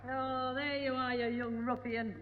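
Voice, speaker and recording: A woman's voice: sits high, little pitch movement. A stern, scolding mother. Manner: brisk and scolding. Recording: tinny, echoey old radio broadcast.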